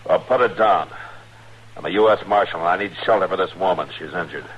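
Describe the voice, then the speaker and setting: Man playing a very deep-voiced frontier marshal. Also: fast talker, close to an everyday voice. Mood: urgent, commanding. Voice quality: deep, gruff. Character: stern, protective frontier US marshal, big-framed, steady. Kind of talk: 1950s western radio drama acting. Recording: dull, noisy old radio recording.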